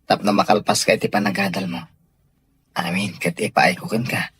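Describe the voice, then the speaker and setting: A man's voice: low-pitched, little pitch movement, normal speed, fairly bright tone, breathy edge, exaggerated voice. A Filipino man playing a devoted young sweetheart in a radio drama, speaking earnestly and tenderly. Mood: earnest, reassuring. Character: devoted young male sweetheart surprising his beloved with a house. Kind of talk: Ilocano radio drama acting.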